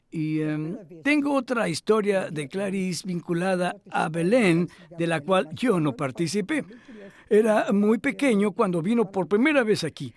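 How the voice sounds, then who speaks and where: Older man, high voice, measured answers. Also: vocal fry, darkish tone. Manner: thoughtful, measured, with pauses and fillers. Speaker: interviewee, literary scholar. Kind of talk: Spanish voice-over of an interview.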